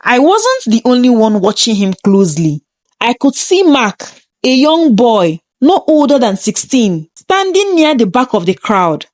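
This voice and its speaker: A woman's voice: on the high side, very animated, unhurried, a little mellow, dry recording. A woman reading a scripted first-person story in a dramatic, suspenseful manner.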